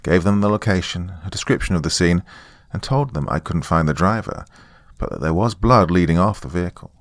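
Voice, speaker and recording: A man giving a dramatic, scripted first-person horror narration, suspenseful and deliberate, with pauses for effect. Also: low voice, dry recording.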